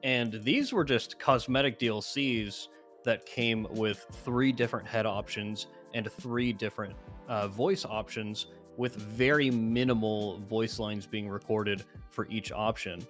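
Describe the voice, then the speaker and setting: A man's voice: on the low side, steady tone, unhurried, fairly bright tone, soft background music. A man giving casual gaming commentary as a guest, explaining his opinions at length.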